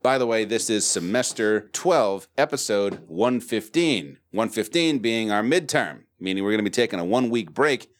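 A man's voice: fairly low pitch, lively intonation, normal speed, hardly any pauses. A young adult man hosting a podcast in casual chat, laid-back and swearing freely. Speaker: podcast host.

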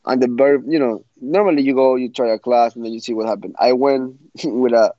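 A man's voice: mid-range voice, a little fast, mellow, dry recording, muffled recording. A young man from Ecuador, giving frank, blunt interview answers full of restarts. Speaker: guest fighter.

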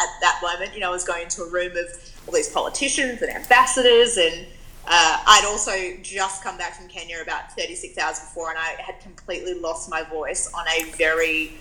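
A woman's voice: fairly low pitch, bright, forceful tone, low-quality recording. A woman, giving reflective, story-filled answers as an interview guest. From Australia. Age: 20s. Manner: reflective, storytelling, frequent restarts.

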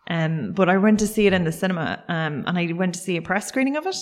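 A woman's voice: on the low side, expressive, fast. A woman from Cork, hosting a podcast and chatting enthusiastically with her guest. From Cork, Ireland. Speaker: podcast host. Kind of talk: casual interview chat.

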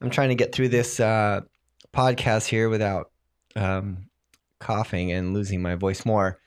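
A man hosting a solo tech podcast, explaining software settings while fighting a cold, clearing his throat now and then. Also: lowish voice, flat delivery, a little slow. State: has a cold, voice strained. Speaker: podcast host.

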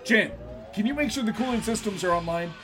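Brisk starship pilot played by a woman, dramatic music. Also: mid-range voice, expressive, close to an everyday voice. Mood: brisk, commanding. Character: brisk, anxious, commanding young female starship pilot. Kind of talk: sci-fi audio drama acting. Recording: dramatic music bed over dialogue. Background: loud music.

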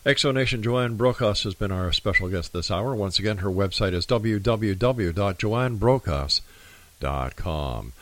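A man's voice: fairly low pitch, animated, measured pace, fairly bright tone. A man hosting a radio talk show, thanking his guest and signing off in a friendly announcer manner.

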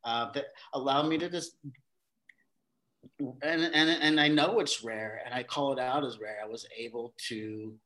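A man being interviewed about his theater career, talking in a halting way with many restarts and repeated words. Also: medium pitch, steady tone, pushed and bright. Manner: acts out quoted exchanges in a livelier voice. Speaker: theater director, podcast guest. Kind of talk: casual interview conversation.

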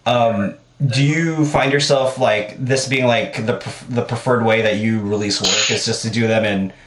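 A man hosting a podcast, asking questions in a halting way with many restarts. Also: on the low side, fairly even intonation. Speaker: podcast host. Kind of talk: casual interview. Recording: echoey room.

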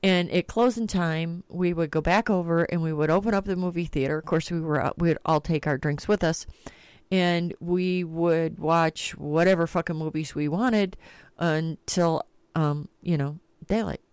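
A middle-aged woman hosting a talk show, telling stories in a lowish voice and swearing freely. The sound is close and dry. She talks in a relaxed, salty way. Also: moderate pace, clean tone, no echo. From Texas. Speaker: podcast host. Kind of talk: casual chat.

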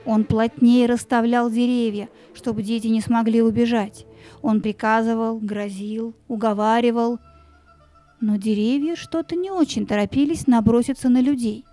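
A woman reading expressive scripted narration for a fairy-tale audio play, with music behind her. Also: a little high, normal speed.

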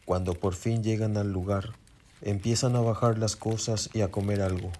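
A man narrates a suspense radio play in a low, very even voice with a dark tone. He, and faint ambience sits behind him. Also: moderate pace. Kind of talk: scripted suspense drama narration.

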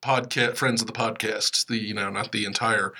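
A man's voice: on the low side, steady tone, moderate pace, bright, forceful tone. A man hosting a podcast in a casual, behind-the-scenes chat style. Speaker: podcast host.

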